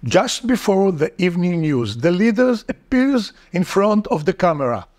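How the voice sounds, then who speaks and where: Earnest man, lively pitch. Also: moderate pace. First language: Hebrew. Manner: earnest, rhetorical questions, emphatic. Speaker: political commentator. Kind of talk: scripted video essay.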